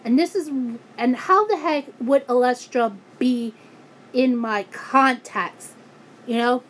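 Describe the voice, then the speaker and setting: A woman ranting angrily in a vlog, hoarse and losing her voice, often breaking off and restarting her sentences. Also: sits high, slow pace, a bit bright. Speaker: YouTuber.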